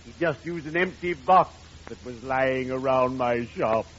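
An actor playing a morbidly genial undertaker in an old radio comedy, solemn, formal and punning. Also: male voice, mid-range voice, lightly acted. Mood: droll. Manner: formal, grandly deliberate, punning. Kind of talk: 1940s radio comedy acting. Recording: dull, noisy old radio recording.

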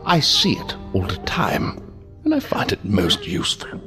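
A man's voice: fairly low pitch, wildly swooping pitch, unhurried, over music. A man playing a sinister, courtly, inhuman villain in an audio drama, verbose and mockingly polite in a mannered, theatrical way. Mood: mocking, menacing. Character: sinister, courtly, unhurried villain, inhuman interrogator posing as a gentleman. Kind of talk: science fiction audio drama acting.

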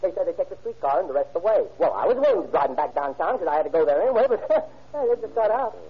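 Excitable, digressive cabbie for vintage radio drama: rapid-fire, rough-edged, muffled old recording. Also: male voice, high voice, exaggerated voice. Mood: nervous rambling. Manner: chatty, digressive, flustered. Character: nervous, rambling, excitable male cab driver. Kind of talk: old-time radio crime drama acting.

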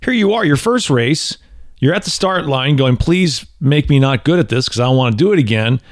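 Lively, joking man in his forties. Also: mid-range voice, a little fast, no echo, clean studio sound. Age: 40s. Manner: animated, joking, restarts and repeats words. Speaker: podcast host. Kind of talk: casual chat.

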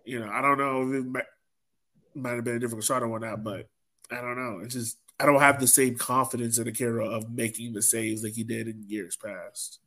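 Male sports podcast co-host, average pitch, informal and rambling conversational delivery. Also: level tone, fairly quick, pushed and bright. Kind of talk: casual sports discussion.

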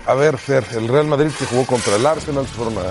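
A man's voice: medium pitch, fast. A man with a Mexican Spanish accent, a sports talk show panelist chatting casually and asking his co-hosts to agree with him. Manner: conversational, asks co-hosts for agreement.

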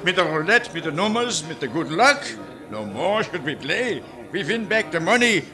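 Fussy old german farmer papa, thick accent, high lively voice, radio drama. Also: male voice, moderate pace. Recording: old radio broadcast with music.

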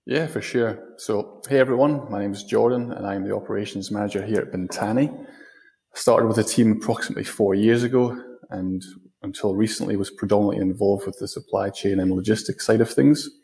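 Low-voiced Scottish man, flat delivery. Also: vocal fry. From Scotland. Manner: plain, measured explaining. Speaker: guest, operations manager. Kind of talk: podcast interview answers.

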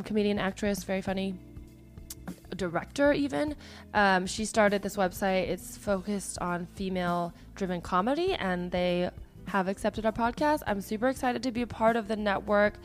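A hoarse woman hosts a podcast, talking in a loose, upbeat way full of fillers. Her voice is worn and raspy after travel, and her pitch sits in an ordinary middle range. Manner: chatty and upbeat, many fillers. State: hoarse, partly lost voice. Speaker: podcast host. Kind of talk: casual podcast chat.

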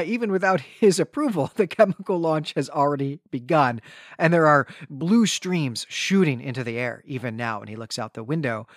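A man's voice: a little high, no room sound. Wryly joking man, close dry sound. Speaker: podcast co-host. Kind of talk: conversational story recap and discussion.

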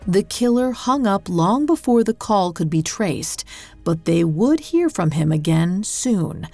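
A woman hosting a podcast, reading scripted true-crime narration in a measured, dramatic storytelling manner. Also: medium pitch, very animated, creaky, a little mellow, no room sound. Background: music.

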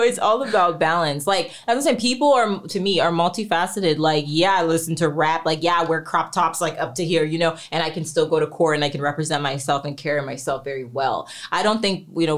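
A young woman attorney from the US talking casually as a podcast guest, relaxed and peppered with "like". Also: deep voice. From US, grew up moving around the South and California. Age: young adult.

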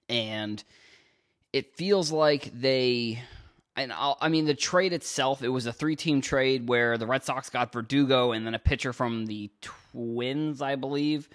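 A man's voice: mid-range voice, a bit bright. A young man from Cape Cod, hosting a casual solo sports podcast, rambling and restarting his sentences. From Cape Cod, Massachusetts. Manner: rambling, restarts sentences, stumbles over words. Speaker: podcast host.

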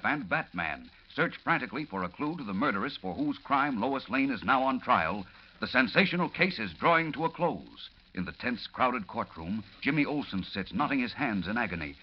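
A male narrator of a vintage radio serial. His husky voice rises and falls dramatically with urgency, on a dull old recording. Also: mid-range voice.

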